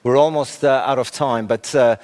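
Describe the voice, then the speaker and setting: A man's voice: medium pitch, fairly even intonation, a little mellow. Man moderating a stage interview. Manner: measured questions with fillers. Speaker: forum moderator.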